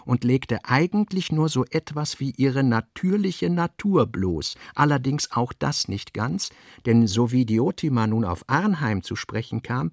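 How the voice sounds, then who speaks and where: A male narrator with a medium-pitched, creaky voice reads literary prose in a dry, close recording. His phrasing is measured and deliberate. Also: no echo.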